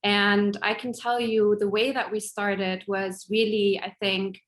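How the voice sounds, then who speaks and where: A woman's voice: mid-range voice, flat delivery, measured pace. A woman speaks as a guest in an online interview, fluent and friendly. She grew up between Lebanon and Germany and talks through her answers in an easy, articulate way. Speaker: guest, tech executive.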